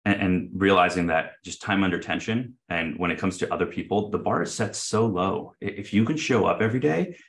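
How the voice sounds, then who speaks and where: A man's voice: sits low, fairly quick, few pauses, fairly bright tone. A man in his thirties, a company chief guesting on an interview show, speaking earnestly with many fillers and restarts. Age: 30s. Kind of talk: casual interview talk.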